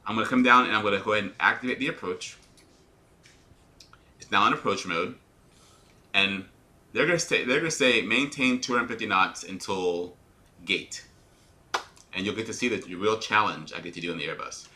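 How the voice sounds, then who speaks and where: A man's voice: lowish voice, brisk, long pauses, sharp tone. A man, an airline pilot, commenting live on a flight simulator, talking in a put-on radio-announcer voice and explaining each checklist step to viewers with small stumbles and restarts. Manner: occasional small repetitions and restarts.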